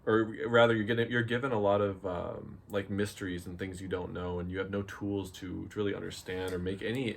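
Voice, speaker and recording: Guy with lowish voice, casual reviewer chat, kinda hesitant, keeps rephrasing. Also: level tone, fairly quick, vocal fry, a little hiss or hum. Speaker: visual novel reviewer and co-host. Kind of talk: casual discussion.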